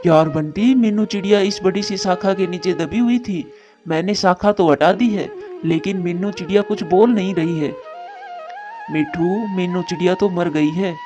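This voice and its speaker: A man's voice: high voice, measured pace, music in the background. A man voicing a caring, troubled adult male bird in a cartoon moral story, speaking earnestly and with plain drama. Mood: distressed.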